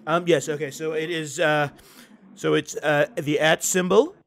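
A man's voice: a little high, fairly even intonation, a little slow, a bit bright. A man doing an impression of a brash, repetitive older male politician on a prank call. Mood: hesitant, polite. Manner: repetitive, emphatic salesman-like phrasing. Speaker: prank caller. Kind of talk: improvised prank phone call.

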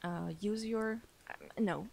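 Chatty young woman with a gravelly edge. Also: medium pitch, fairly even intonation, slow pace, a little mellow. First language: Armenian. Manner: chatty, laughs often, many fillers and restarts. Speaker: podcast guest, English teacher. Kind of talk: casual interview chat.

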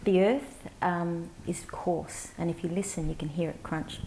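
Soft-spoken middle-aged woman from central australia, kinda low voice, slight hiss, chatting to a big conference crowd. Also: fairly even intonation, low-quality recording. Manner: warm, reflective, halting with fillers and restarts. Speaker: panelist, CEO of an advocacy organisation. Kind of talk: storytelling conference talk. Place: conference stage before a large audience, indoors.

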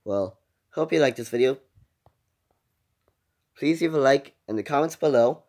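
A man's voice: medium pitch, moderate pace, a little mellow. A young man hosting a casual reaction video, recorded on a phone in a room at home. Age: teenager or young adult.

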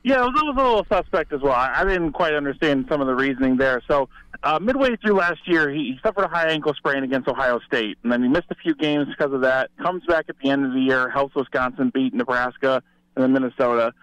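A man's voice: mid-range voice, fast talker, a bit bright, no room sound. A man giving conversational football analysis as a reporter guest over a remote call. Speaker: sports reporter, show guest. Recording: slightly dull remote call audio.

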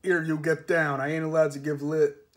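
A man's voice: a little high, flat delivery, normal speed, natural voice. A man voicing a timid, babbling cart driver with nervous, wheezy, uneducated speech, in a storybook reading. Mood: gruff.

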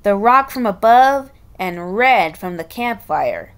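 A woman reading a children's story aloud in an expressive, halting way, with casual chatty asides. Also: medium pitch. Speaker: storybook reader.

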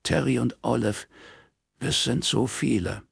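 A man acts out a kindly grown woman in an audiobook, a sister in mourning with a gentle manner. The voice is lifted well above his usual register, clean and closely recorded. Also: medium pitch, a little slow, vocal fry, husky, dry recording, studio quality, voice pushed up, heavily acted. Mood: touched. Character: grieving man's warm, gentle adult sister. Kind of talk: audiobook character dialogue in German.

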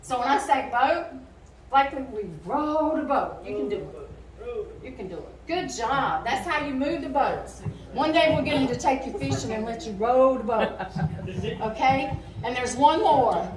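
High-voiced woman storyteller in an echoey church. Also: lively intonation, measured pace. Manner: simple, repetitive coaching with call-and-response. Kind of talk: interactive Bible storytelling to children. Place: church sanctuary stage, indoors. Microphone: recorded by a distant audience camera. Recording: distant, echoey church hall, noisy room. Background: children and room noise.

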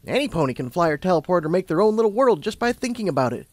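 A man's voice: high-pitched, big pitch swings, rapid-fire, a little mellow, dry recording, voice pushed up. A man voicing a confident, kindly female unicorn pony who explains things like a patient mentor. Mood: upbeat, instructive. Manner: assured, explanatory.

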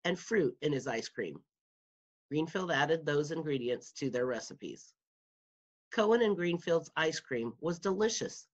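A woman's voice: low-pitched, husky. A woman reading a children's nonfiction book aloud in a careful manner, as a school media specialist would. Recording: dull, muffled lo-fi home recording.

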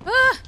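A young woman giving casual, reactive let's play commentary, exclaiming and swearing as she plays. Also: background noise. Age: young adult. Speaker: streamer playing a video game. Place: indoor room at home. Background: loud video game music and sound.

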